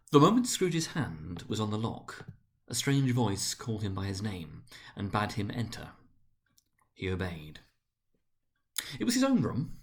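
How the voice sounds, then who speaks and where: A male narrator with a mid-pitched voice gives a dramatic reading of classic literature. His phrasing is theatrical and deliberate, shaped by emphatic swells and softer drops. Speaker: narrator, story reader.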